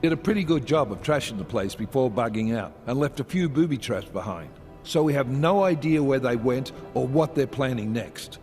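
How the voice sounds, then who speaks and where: A man voices a brisk police task force chief in a video game who speaks with authority at a mid pitch. Soft music plays under the scene. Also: animated, close to an everyday voice. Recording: game cutscene with score.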